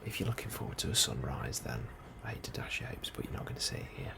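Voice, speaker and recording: A man doing a soft, breathy ASMR role-play as an unhurried, politely understated officer interviewing a newcomer. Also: a bass voice. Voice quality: soft, breathy, often near whisper. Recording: steady ambient noise behind the voice. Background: computer and ambience sounds.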